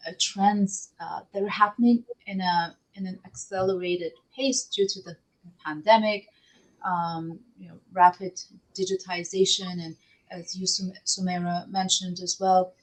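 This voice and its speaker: Woman from Egypt, panel moderator; slightly low pitch, halting, repeats words as she goes. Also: flat delivery, rough recording. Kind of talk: panel discussion.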